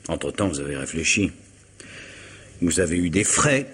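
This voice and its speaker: An actor playing a wary, guarded man facing his blackmailer in a radio mystery, speaking in a measured, formal way. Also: rumbling low, expressive, a bit bright, breathy edge, exaggerated voice. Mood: wary, bargaining. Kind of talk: French radio mystery drama acting.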